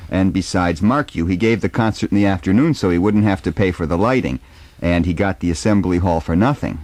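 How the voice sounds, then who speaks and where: Man acting out gossipy aristocrat, low-pitched, emphatic, scornful, dry close sound, dramatic reading. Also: a little fast, darkish tone, no room sound, close to an everyday voice. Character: gossipy, disdainful male Russian concertgoer of high society. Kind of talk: informal dramatic reading of a dialogue.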